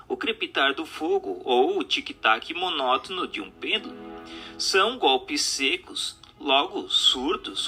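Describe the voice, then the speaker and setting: A very bright, forceful Brazilian man narrates a written text with lively, wide-moving intonation. He articulates deliberately and stresses key phrases, over a music bed. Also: a little high, husky. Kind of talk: scripted audiobook narration.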